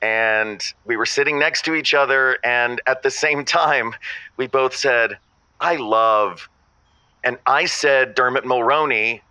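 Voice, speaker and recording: Actor as bright, fussy pedantic boyfriend. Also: male voice, mid-range voice, slow pace, no echo. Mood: recalling, precise. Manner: precise, argumentative, exasperated. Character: fussy, pedantic man correcting his boyfriend on radio. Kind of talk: scripted audio comedy drama.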